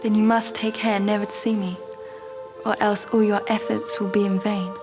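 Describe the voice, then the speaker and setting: A woman acting a young period-drama temptress with a medium-pitched voice. She is poised and provocative, deliberately teasing with cool cruelty, and music plays clearly underneath. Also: level tone, natural voice.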